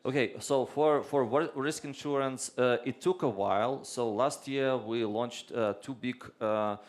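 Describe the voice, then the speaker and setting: A man's voice: medium pitch, measured pace. A man speaking as a government deputy minister on a panel, answering hesitantly with frequent restarts. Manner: hesitant, many restarts and fillers.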